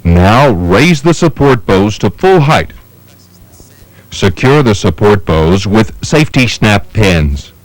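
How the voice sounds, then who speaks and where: Low-voiced man narrating step-by-step instructions. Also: sing-song, no echo, rough recording. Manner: measured, methodical, step-by-step delivery. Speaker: training film narrator.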